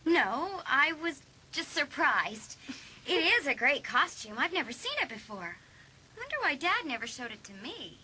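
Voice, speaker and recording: A woman's voice: high voice, sing-song, normal speed, sharp tone, a little hiss or hum, exaggerated voice, poor audio. A woman playing a resentful, indignant teenage girl in a dramatised audiobook. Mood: hesitant, obliging.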